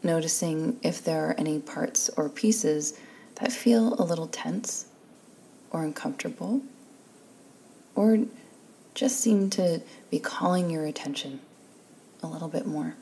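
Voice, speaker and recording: A woman leading a guided meditation in a soft, breathy, calm and soothing voice, leaving long pauses between phrases. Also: low-pitched, a little hiss or hum. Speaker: guided relaxation instructor.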